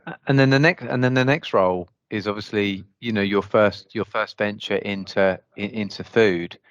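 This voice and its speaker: A man's voice: lowish voice, moderate pace, smooth, lo-fi sound. A man hosting a podcast, asking questions hesitantly and often repeating words as he forms them. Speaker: podcast host, interviewer. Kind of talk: interview questions.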